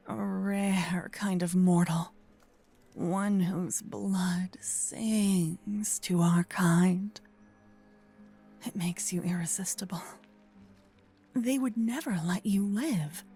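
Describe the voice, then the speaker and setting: Woman acting a slow, breathy, possessive vampire protector. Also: on the low side, heavily acted. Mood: enthralled, protective. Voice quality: soft, breathy, often whispering. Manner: slow, intimate, earnest, dramatic pauses. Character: gentle possessive female vampire kidnapper, self-proclaimed protector. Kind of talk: breathy close-up ASMR roleplay acting. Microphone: close binaural microphone, ear to ear.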